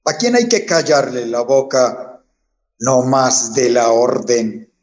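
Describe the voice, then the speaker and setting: A man's voice: mid-range voice, very animated, takes it slowly, darkish tone. Guy playing an eager-to-please colombian thug in a radio play, echoey hall sound, kinda muffled. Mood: servile menace. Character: obsequious male henchman, eager to silence enemies. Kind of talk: radio drama acting. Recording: long reverb, slightly dull sound.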